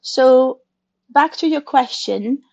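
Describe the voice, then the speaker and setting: A woman's voice: high-pitched, slow pace, vocal fry, a little mellow. A woman in her forties, a native French speaker, talks through her answers in English with a slightly raised voice. She hesitates and restarts often, searching for words. The recording sounds dull and muffled. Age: 40s. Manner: thoughtful, hesitant, with restarts and non-native phrasing. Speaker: guest. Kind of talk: conversational interview.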